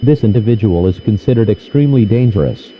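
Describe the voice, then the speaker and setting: A man's voice: on the low side, animated, fast. A man reading a formal scripted emergency broadcast announcement in a stiff, official manner. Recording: dull lo-fi radio-style audio, noisy.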